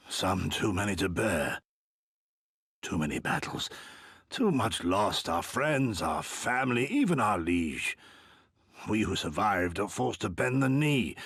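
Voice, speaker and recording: An actor playing a weary, sorrowful old village elder, grave and defeated, with a gravelly, husky voice in a video game cutscene. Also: male voice, deep voice, fairly bright tone, no echo, clean studio sound, full character voice. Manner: slow, grave, measured.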